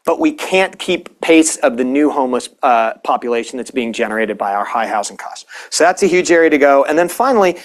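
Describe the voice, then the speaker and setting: Guy on a panel rattling off stats, keeps restarting words, steady. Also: medium pitch, a little fast, hardly any pauses. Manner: steady, data-laden argument with frequent restarts. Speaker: panelist presenting policy data. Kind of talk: panel talk.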